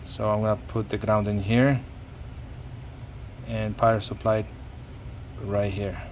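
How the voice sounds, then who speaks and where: A man narrating a casual hands-on repair tutorial, speaking haltingly and repeating words as he works. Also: lowish voice, little pitch movement, a little hiss or hum. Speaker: hobbyist demonstrating a radio repair.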